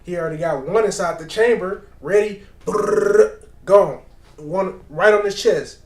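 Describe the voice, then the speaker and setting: A man with a fairly high voice chats through a reaction video in a loose, slangy way. He is lively and repeats points for emphasis. The sound is roomy and echoey. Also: a little slow, slight background noise. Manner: animated, slangy, repeats himself. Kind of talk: casual reaction commentary.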